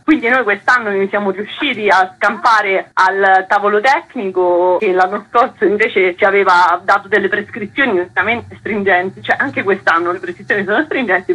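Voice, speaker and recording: A woman gives explanatory interview answers. Her medium-pitched voice is bright and tense, with a husky grain. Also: rough recording. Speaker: festival organiser, interview guest.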